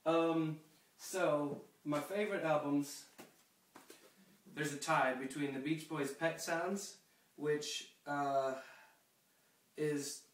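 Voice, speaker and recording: A man's voice: fairly high pitch, fairly even intonation, takes it slowly, long pauses. A young man with a sniffly cold, chatting in a rambling way with lots of fillers and restarts. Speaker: pen pal video blogger. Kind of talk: casual video letter to a friend. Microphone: phone camera. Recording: echoey room, a bit far from the mic.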